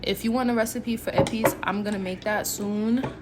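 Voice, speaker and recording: A woman's voice: mid-range voice, fairly even intonation, normal speed, heavy background noise. A woman giving a casual, playful cooking tutorial, chatting to viewers informally as if they were friends. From Haitian background. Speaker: home cook, recipe vlogger.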